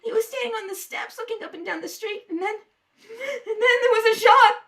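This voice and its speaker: A woman's voice: extremely high pitch, moderate pace, a bit bright, voice pushed up. A woman playing a distraught, pleading young woman suspected of murder in an old-time radio drama, with frantic repetitions. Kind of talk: 1930s radio drama acting.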